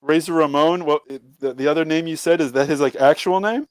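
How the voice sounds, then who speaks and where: A man co-hosting a podcast in casual group chat, slangy and excitable. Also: fairly high pitch, fairly quick, clean tone, dry recording. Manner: slangy, excitable, says bro and dude. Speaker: podcast co-host.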